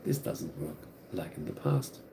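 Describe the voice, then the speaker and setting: A man's voice: sits low, big pitch swings, unhurried, background noise. A man with a German accent, German his first language, hosting a live stream and talking earnestly to viewers, often repeating and restarting his phrases. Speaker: channel host.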